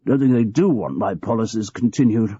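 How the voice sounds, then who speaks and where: Man doing an older male boss, petulant and self-pitying, voice pitched a bit higher and pushier than the narration; husky, dull lo-fi sound. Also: animated, moderate pace, warm tone, no room sound. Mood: testy. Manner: testy, querulous. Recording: dull lo-fi recording.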